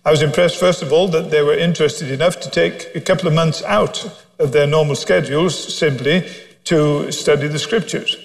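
A man's voice: on the high side, creaky. A man, preaching a sermon as a story, humorous and self-deprecating. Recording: long hall reverb.

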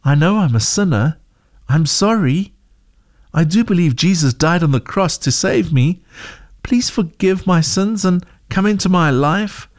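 A man's voice: high voice, expressive, a little slow, voice pushed up. A man voicing a frightened young schoolgirl in a storybook reading, his voice lifted and pleading.

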